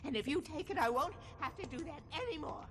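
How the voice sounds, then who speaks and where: A woman's voice: high-pitched, expressive, quick pace. An actress playing an anxious, weary older woman who talks in a fussy, precise way in a video game. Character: anxious, weary older woman keeper of a well-lit room. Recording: loud ambient noise behind the voice.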